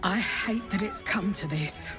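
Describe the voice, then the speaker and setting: A woman's voice: medium pitch, measured pace, music in the background, natural voice. A woman playing a menacing villain in a video game, with a sinister, theatrical manner. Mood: grim, resolute. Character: menacing female villain with sadistic, mocking relish. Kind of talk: video game character acting.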